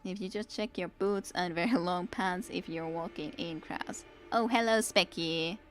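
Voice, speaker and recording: A woman's voice: lowish voice, fairly even intonation, unhurried, fairly bright tone, slight background noise. A woman with a Finnish accent, chatting casually as a streamer while she plays and answers her chat. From Finland. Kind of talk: casual gameplay commentary.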